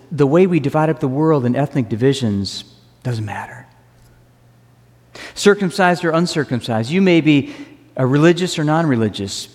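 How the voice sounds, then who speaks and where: A man preaching a sermon as pastor, earnest and emphatic, slowing down to stress key phrases. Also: medium pitch, a little mellow. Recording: reverberant hall.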